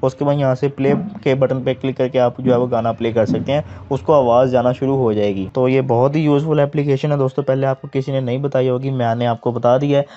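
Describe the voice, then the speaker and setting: A Pakistani man guides viewers step by step through an app at a brisk clip. His voice is dark and soft-edged, at a middle pitch. He speaks to listeners as friends and repeats his instructions, on a dull, lo-fi recording. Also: steady tone, a little hiss or hum. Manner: addresses listeners as friends, repetitive instructional phrasing. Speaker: tech tutorial host. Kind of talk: step-by-step app tutorial narration.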